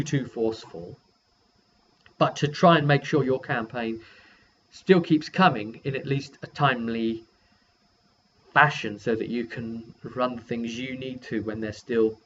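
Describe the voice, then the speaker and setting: Male game master and vlogger, casual and halting recap, middle-pitched voice, lo-fi audio with faint noise. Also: a bit bright. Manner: halting, self-correcting delivery. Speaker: game master and video host. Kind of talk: casual rambling session recap. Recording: dull lo-fi sound with faint noise.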